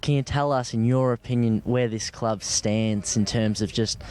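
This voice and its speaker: A man's voice: medium pitch, steady tone, moderate pace, darkish tone, clear, resonant tone. A young Australian man working as a sports reporter, conducting a local TV interview.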